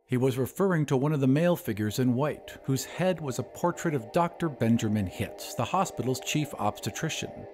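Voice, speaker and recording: A man hosting a podcast and narrating an audio drama in a measured, expressive way. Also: mid-range voice, faint music behind. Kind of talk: scripted storytelling narration.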